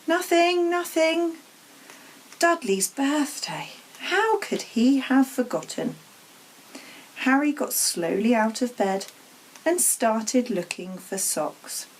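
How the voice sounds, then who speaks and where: Woman story reader, unhurried pace, slightly husky, lively intonation, children's narration. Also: a little high, rough recording.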